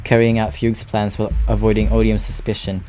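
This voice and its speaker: A man's voice: on the low side, level tone, fast talker, dark tone. A man giving casual playthrough commentary as a game streamer. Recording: game music behind the voice.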